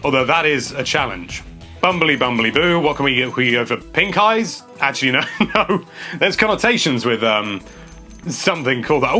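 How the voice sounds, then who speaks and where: Young games presenter, rambling and self-mocking, bright tone. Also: male voice, medium pitch. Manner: chatty, self-mocking, thinking aloud. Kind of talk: casual gameplay commentary.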